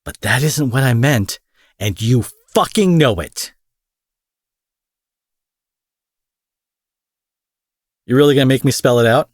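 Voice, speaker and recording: A man's voice: medium pitch, animated, normal speed, dry recording, clean studio sound, lightly acted. A man playing an angry, menacing supervillain in an audio drama, profane, sarcastic and theatrical, taunting with mock affection. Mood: angry, impatient. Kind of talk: roleplay audio drama monologue.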